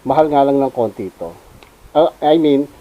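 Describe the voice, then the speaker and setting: A man's voice: medium pitch, lively intonation, normal speed, phone-quality sound. A Filipino man giving a casual, unscripted gear review as a motovlogger, informally mixing in English. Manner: informal, switches between Tagalog and English.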